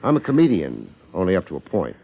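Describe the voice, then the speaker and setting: An actor playing a wry, hard-boiled American detective with deadpan wisecracks, in 1950s radio drama style. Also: male voice, low-pitched, quick pace, vocal fry, breathy edge, heavily acted. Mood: wry, flirtatious. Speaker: detective and narrator. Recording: dull, band-limited 1950s radio recording.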